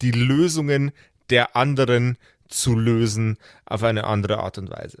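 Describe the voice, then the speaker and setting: Casting: male podcast game master, blunt, emphatic, casual, average pitch. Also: dry recording, clean studio sound. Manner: blunt, sweary, emphatic repetition. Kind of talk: casual chat with friends.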